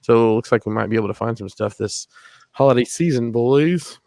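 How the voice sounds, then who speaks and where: A man's voice: mid-range voice, a little fast, vocal fry, warm tone, no echo. A man co-hosting a podcast, telling rambling stories with crude jokes in casual chat. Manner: joking, rambling, crude humor. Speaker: podcast co-host.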